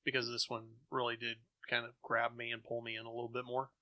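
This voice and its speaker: A man talks casually as a podcast co-host. His voice is extremely bright and forceful, with very little pitch movement. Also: monotone, quick pace, dry recording. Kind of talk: casual chat.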